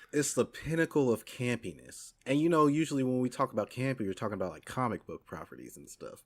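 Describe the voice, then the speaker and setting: A man co-hosting a podcast, chatting casually and excitably, repeating his phrases and swearing freely. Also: medium pitch. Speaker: podcast co-host. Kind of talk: casual, enthusiastic podcast chat.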